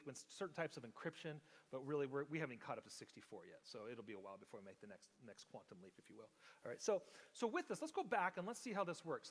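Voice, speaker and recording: A man delivers a teaching sermon with a slightly higher voice, walking through ideas step by step. He keeps checking in with the congregation as he goes. His voice rings out with the long reverb of a church hall. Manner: explanatory, checks in with the congregation often. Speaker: pastor. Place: church sanctuary.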